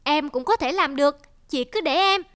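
A woman's voice: high-pitched, moderate pace, fairly bright tone. A woman voicing a vain, eager young squirrel in a children's cartoon, exclaiming in a boastful, childlike way.

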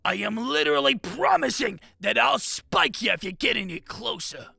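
A woman voicing a grumpy, threatening porcupine in a comic dub, with a raspy, gravelly voice and a blunt, irritable manner. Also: on the high side, lively intonation, moderate pace, bright, forceful tone, dry recording, studio quality, exaggerated voice. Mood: grumpy, warning. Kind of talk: animated comic dub acting.

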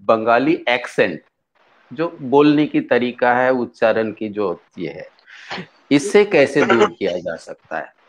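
A middle-aged man interviewing a guest over a video call, asking questions haltingly with many short acknowledgments. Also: mid-range voice, big pitch swings, slight background noise. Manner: halting, frequent "haan" acknowledgments. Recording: dull lo-fi video call audio.

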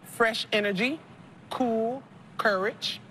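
A woman in her thirties hosting a TV game show, playful and quick with slang and jokes. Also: a little high, poor audio. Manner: playful, lively, Southern-tinged slang like y'all. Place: large studio set of a supermarket. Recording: TV broadcast over loud music. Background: loud game show music.

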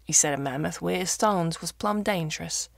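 Storybook role: blunt rural farmer played by a woman, gravelly, rustic dialect. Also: on the low side, moderate pace, no room sound, studio quality. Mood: matter-of-fact. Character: gruff, blunt old Yorkshire mammoth farmer, male.

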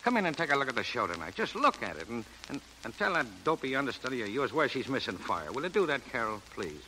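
An actor playing a pushy, cocky showbiz hustler, wheedling and stammering in slangy 1930s radio comedy style. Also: male voice, medium pitch, big pitch swings, fast talker, bright, forceful tone, a little hiss or hum, poor audio. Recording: old 1930s radio broadcast.

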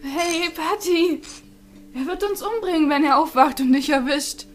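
A woman's voice: high voice, unhurried, faint music behind. A woman playing an exasperated, nervous teenage girl in an anime audio drama, with a nagging big-sister manner. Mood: alarmed.

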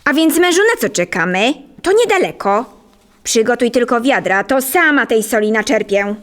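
A woman playing a greedy, nagging, impatient peasant wife in an audio drama, shrill and scolding. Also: animated, moderate pace, fairly bright tone.

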